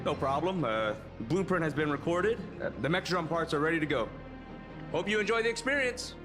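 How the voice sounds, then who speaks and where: Man acting a higher-voiced, polite game attendant. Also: animated, fairly quick, natural voice. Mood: polite, obliging. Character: polite, helpful male attendant at a robot-building experience. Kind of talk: scripted video game character acting. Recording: music under the voice.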